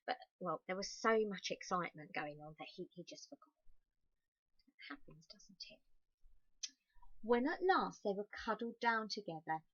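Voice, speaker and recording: A woman reading a children's story aloud expressively, stressing key words and slipping in soft, chatty asides to her young listeners. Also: fairly low pitch, dry recording, highs cut off.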